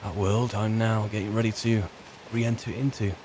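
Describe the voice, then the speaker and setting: A man with a British accent roleplaying a weary, chatty wizard's servant turned adventurer, musing in a wry, halting, rambling way. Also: lowish voice, little pitch movement, background noise, natural voice. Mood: wistful musing. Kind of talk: in-character game roleplay monologue.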